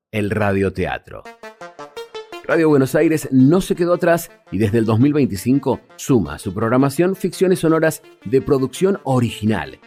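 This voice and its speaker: A man's voice: mid-range voice, a little mellow. An Argentine man reading scripted documentary narration as a narrator. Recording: music behind the voice.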